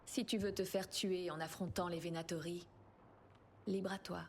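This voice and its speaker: Low-voiced, mocking female informant played by an actress. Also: steady tone, normal speed, a little mellow, slight background noise, lightly acted. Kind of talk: video game voice acting.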